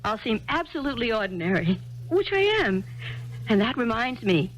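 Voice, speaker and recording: A sweet, guileless eighteen-year-old girl from a 1970s radio play. She speaks in a high, light voice, with a shy, teasing lilt. A faint noise sits underneath. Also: very animated, moderate pace, low-quality recording. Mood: shy, playful. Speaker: actress in a radio drama. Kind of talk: 1970s radio drama acting.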